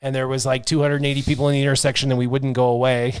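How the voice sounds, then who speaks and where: A man's voice: mid-range voice, flat delivery, racing, smooth, no echo. An American man hosting a livestream in casual chat, telling stories in colloquial speech and acting out reactions. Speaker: livestream host.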